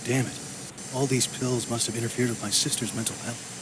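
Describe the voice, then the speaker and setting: A man's voice: medium pitch, steady tone, a little fast, very noisy, natural voice. An actor playing a troubled, guarded man in a video game, speaking tersely and broodingly. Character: troubled, guarded man searching for his missing sister. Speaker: main character of a video game. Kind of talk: scripted game voice acting, brooding monologue and dialogue.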